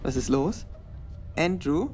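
A man's voice: a little high, animated, slow, music in the background. A man playing a cheerful, teasing young student returning from abroad.

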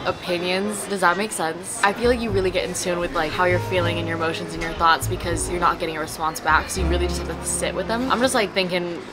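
A woman's voice: fairly low pitch, steady tone, fast, hardly any pauses, over loud music. A nineteen-year-old woman talking casually to camera as a vlogger, candid and slangy with some swearing. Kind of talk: casual chatty vlog to camera.

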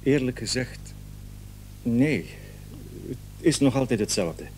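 A man's voice: medium pitch, animated, slow, a little mellow. Worried sick guy, hesitant and repetitive, radio drama, bit of background hum. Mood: worried, plaintive. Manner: hesitant, stammering, repeating words. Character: anxious, long-suffering male allergy patient, hesitant and plaintive. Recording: old radio recording, faint hum.

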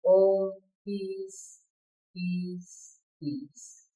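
Slow-paced Indian woman storyteller, echoey room. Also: mid-range voice, level tone, mellow, dull audio. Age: middle-aged. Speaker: storyteller for children. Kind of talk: storytelling lesson for children. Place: indoor room with bookshelves.

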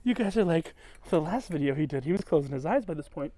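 Tipsy male cruise vlogger, fairly high-pitched, blunt and profane, walking and talking to a handheld camera. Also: animated, fast, mellow. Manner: blunt, swearing, ranting, drops to a mutter at times. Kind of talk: casual walk-and-talk vlog. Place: aboard a cruise ship, indoors.

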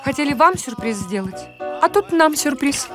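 High-voiced, exasperated cartoon mother. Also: expressive, music in the background. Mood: mildly complaining. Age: adult. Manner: brisk, querulous. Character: brisk, easily exasperated city mother. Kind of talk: cartoon voice acting.

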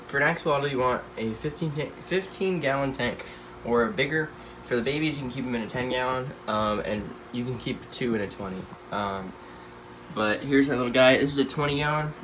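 A man's voice: mid-range voice, noisy background. A teenage boy with a stuffy nose, rambling casually with lots of fillers while he films his pet tanks at home on a handheld phone. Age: teens. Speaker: pet channel host. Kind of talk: casual pet care vlog. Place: home room full of tanks. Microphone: handheld phone filming tanks.

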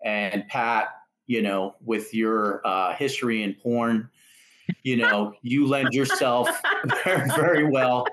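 A man's voice: medium pitch, takes it slowly, a bit bright, rough recording. Male podcast host, mid-range voice, casual and jokey, full of enthusiasm. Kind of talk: casual chat on a video podcast.

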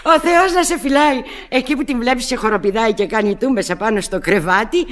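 A woman's voice: on the high side, lightly acted. An actress playing a fussy, good-natured middle-aged landlady and mother in a Greek radio play, scolding fondly. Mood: fond, fussing. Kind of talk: Greek radio theatre acting.

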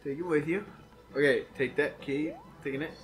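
A man's voice: on the high side, moderate pace, faint music behind. A young man co-hosting a gaming video, chatting casually with lots of slang and swearing. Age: young adult. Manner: profane, slangy. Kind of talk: casual gameplay banter.

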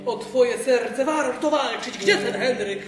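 Woman as a gallant captain, high-pitched and distant. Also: slow pace. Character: lovestruck, gallant male sea captain. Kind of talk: improvised stage play. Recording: echoey hall, far from mic, music behind.

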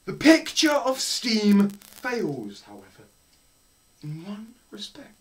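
A young man performing a theatrical scripted lecture, melodramatic, swinging between hushed murmurs and loud cries. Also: high voice, huge pitch swings, slow pace. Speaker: presenter performing a dramatised physics lecture. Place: small plain room indoors.

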